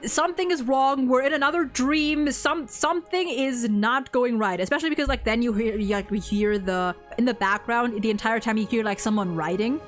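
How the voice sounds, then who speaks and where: A woman talking casually about a game she plays, in an animated, gushing manner and acting out quotes as she goes. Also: fairly high pitch, fairly quick, hardly any pauses, soft background music. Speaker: co-host ranking game story quests. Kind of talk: casual gaming chat.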